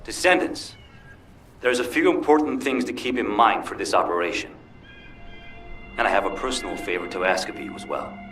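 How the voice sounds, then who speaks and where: A dutiful soldier acted by a man in a video game, fairly low and plain-spoken. The voice is echoey and distant, with cooperative military phrasing. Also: moderate pace, long pauses, a bit bright, lightly acted. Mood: earnest. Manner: plain, compliant military speech. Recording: echoey, distant, under clear music.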